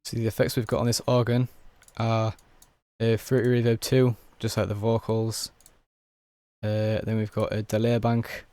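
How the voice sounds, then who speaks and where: A young man whose voice is hoarse and nearly gone, talking in a low, mellow register. He keeps his delivery flat and unhurried, stepping through a production tutorial with easy filler words. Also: soft background music. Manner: laid-back, explains step by step with many fillers. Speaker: music producer. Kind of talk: casual software tutorial.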